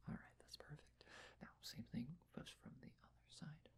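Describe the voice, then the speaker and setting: A man speaking in a breathy whisper, gently and reassuringly giving step-by-step instructions in an ASMR personal-attention roleplay. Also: moderate pace. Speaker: ASMR roleplayer taking face measurements.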